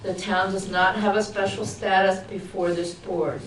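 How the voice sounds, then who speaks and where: A woman chairing a town board meeting, reading out procedure in a formal, measured way with light asides. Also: mid-range voice, flat delivery. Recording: echoey, distant, noisy public-access broadcast.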